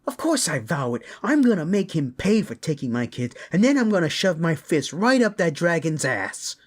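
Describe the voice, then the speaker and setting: A man's voice: mid-range voice, expressive, moderate pace, lightly acted. A man voicing a hot-tempered, vengeful father and roguish paladin, brash and blunt, in a fantasy audio roleplay. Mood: furious. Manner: brash, blunt, foul-mouthed.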